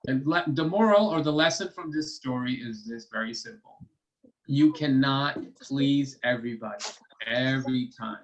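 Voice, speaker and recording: A man's voice: mid-range voice, highs cut off. Guy walking kids thru a riddle on a video call, patient, cheering them on. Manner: patient, encouraging, explains step by step. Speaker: children's storytime host. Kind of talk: casual teaching to children.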